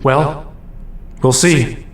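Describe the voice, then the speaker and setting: An actor playing an impulsive, good-natured young hero in an audio drama, quick with baffled wisecracks. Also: male voice, a little high, fairly even intonation, a little hiss or hum. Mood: eager, curious. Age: young adult. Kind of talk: audio drama acting and first-person story narration.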